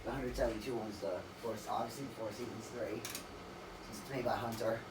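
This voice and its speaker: A man with a raspy voice rambling through a casual, off-the-cuff demonstration, correcting himself and losing his train of thought. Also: lowish voice, steady tone, brisk, far from the mic, background noise. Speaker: ceiling fan collector demonstrating a fan. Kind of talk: casual off-the-cuff video narration.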